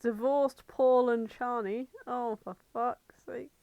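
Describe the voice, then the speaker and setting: A woman's voice: fairly high pitch, steady tone, slow pace, no echo, phone-quality sound. A woman co-hosting a casual comedic podcast, chatting in an irreverent, sweary, bantering way. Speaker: podcast co-host.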